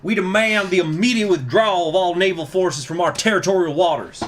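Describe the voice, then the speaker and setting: A man's voice: high-pitched, a little fast, a bit bright, a little hiss or hum, pitched above the performer's own voice. A man voicing an indignant male political delegate in a storybook, with a foreign accent and a formal, emphatic, declamatory delivery.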